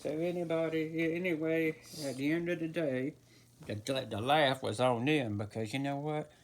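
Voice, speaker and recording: A man's voice: a little high, steady tone, moderate pace, natural voice. A man voicing a rambling, easily flustered old folksy storyteller who halts and repeats himself in a comic tall tale. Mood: folksy storytelling. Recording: noisy home recording with clicks.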